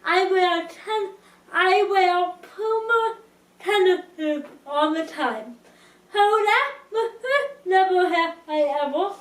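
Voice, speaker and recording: Young woman vlogger, very slow deliberate delivery, roomy distant sound. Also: very high-pitched, animated. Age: young adult. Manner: slow, careful speech with restarts. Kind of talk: casual talk to camera.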